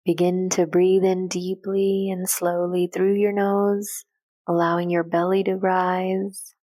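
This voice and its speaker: A woman with a slightly low-set voice leads a guided meditation. Her delivery is gentle and calm. She gives soft instructions in short, measured phrases and never hurries.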